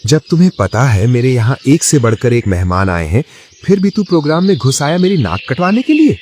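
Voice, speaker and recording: A man's voice: mid-range voice, sing-song, fairly quick, a little mellow, slight background noise, lightly acted. A man voicing an arrogant, domineering rich elder brother in a moral-story cartoon. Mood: scornful anger. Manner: scornful, domineering.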